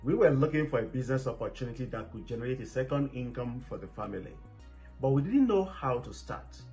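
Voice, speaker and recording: Man talking for his family, lots of pitch movement, dull lo-fi sound, faint music. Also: a little fast. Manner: calm, steady, speaks for the family. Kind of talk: personal testimonial. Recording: dull lo-fi recording.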